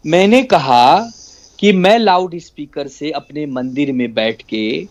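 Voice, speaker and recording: A man's voice: on the high side, slow. A male party spokesman debating as a remote guest, insistent and exasperated, repeating phrases over a lo-fi link. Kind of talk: heated political debate.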